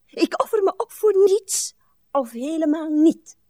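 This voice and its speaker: A prim put-upon church lady in a radio play, high and fussy, dry studio sound. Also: lively intonation, a little slow, a little mellow, no room sound. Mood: martyred, huffy.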